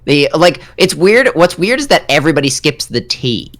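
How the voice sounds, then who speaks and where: A man's voice: on the high side, sing-song, normal speed, fairly bright tone, no room sound. A man co-hosting a podcast in casual, conversational live chat. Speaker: podcast co-host.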